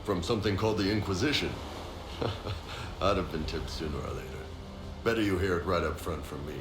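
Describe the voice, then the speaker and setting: An actor playing a big horned mercenary captain in a video game, gruff and jovial, with a casual, blunt swagger. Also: male voice, deep voice, a little fast, noisy background, lightly acted. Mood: frank, persuasive. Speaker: mercenary leader. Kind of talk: video game cutscene dialogue. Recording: echoey room, music behind.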